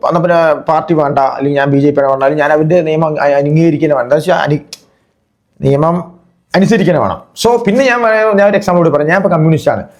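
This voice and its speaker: A man giving chatty, casual reaction commentary as a video host, often checking that the listener follows. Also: fairly high pitch.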